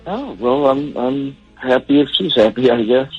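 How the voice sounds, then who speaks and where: A man talking casually on a phone call, somewhat halting and hesitant in the way he answers. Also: mid-range voice, expressive, husky. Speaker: call recipient. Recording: phone call with faint music bed.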